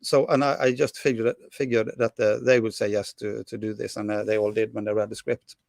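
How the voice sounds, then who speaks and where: A middle-aged man from Norway with a Norwegian accent, answering interview questions in English with many pauses and restarts. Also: fairly low pitch, level tone, vocal fry, a little mellow, no room sound, clean studio sound. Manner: hesitant, many fillers and restarts. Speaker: film director guest.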